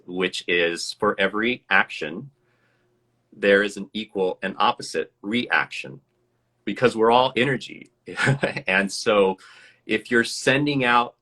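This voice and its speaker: Interview guest, male, American, bright lowish voice, reflective storyteller. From USA. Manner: reflective, earnest, laughs often. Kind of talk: storytelling in a casual live interview.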